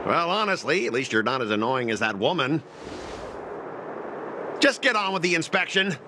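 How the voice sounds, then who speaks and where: An actor playing a self-important, blustering trade envoy in a video game, sarcastic and easily flustered. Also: male voice, fairly high pitch, sing-song, moderate pace, noisy background. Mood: grudging, irritated. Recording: loud game music behind.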